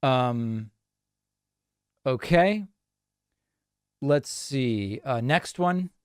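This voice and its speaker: A man's voice: medium pitch, expressive, extremely slow, clear, resonant tone, no room sound, clean studio sound. A man hosting a live show, talking casually and matter-of-factly with a dismissive streak. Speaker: show host. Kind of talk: casual live commentary on voicemails.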